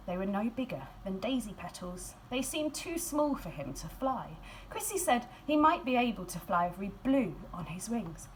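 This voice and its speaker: A woman reads a children's storybook aloud expressively, in a measured storytelling manner with dramatic emphasis and hushed phrases. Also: mid-range voice, slight background noise, rough recording. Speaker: school staff member reading a picture book aloud.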